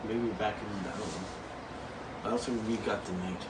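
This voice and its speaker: A young man co-hosting a board game show, laid-back and laughing, narrating his story slowly. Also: lowish voice, steady tone. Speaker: co-host. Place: small room indoors. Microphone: far camera mic. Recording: echoey room, distant mic, background noise.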